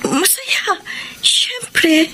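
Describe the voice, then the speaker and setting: A woman's voice: extremely high pitch, animated, drawn-out words, sharp tone, slight background noise, exaggerated voice. A woman playing a troubled young bride-to-be in a radio drama, with a Filipino accent and an emotive, melodramatic delivery. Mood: distracted, uneasy. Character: troubled young bride-to-be confessing a fateful temptation. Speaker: lead actress and letter narrator. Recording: music bed behind voice.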